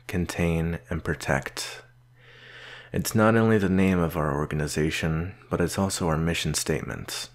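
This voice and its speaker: A man playing a stern, solitary research doctor in an audio roleplay, speaking in a measured, dry, curt manner with a gravelly voice. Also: deep voice. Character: gruff male researcher doctor, solitary, stern but patient mentor. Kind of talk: slow audio roleplay acting addressed to the listener.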